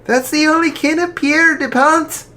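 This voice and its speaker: A woman's voice: high voice, steady tone, measured pace, pitched above the performer's own voice. A narrator voicing a proud, defiant young woman. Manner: defiant, sharp. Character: proud fierce young woman defending her father.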